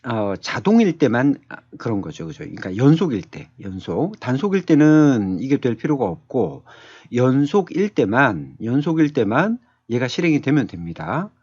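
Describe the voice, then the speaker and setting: A man's voice: mid-range voice, big pitch swings, normal speed, a little mellow, husky, dry recording. A man giving a step-by-step tutorial as an instructor, thinking aloud conversationally and often checking in with short tag questions. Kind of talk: screen tutorial, explaining step by step. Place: indoors, screen-capture voice-over. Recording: dull lo-fi close voice-over.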